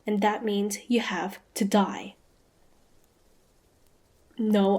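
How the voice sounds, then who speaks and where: A woman's voice: medium pitch, warm tone, natural voice. A woman playing a proud, suspicious young female hunter in a fantasy audio roleplay, guarded and easily flustered, with a grudging tsundere streak. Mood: defiant, threatening.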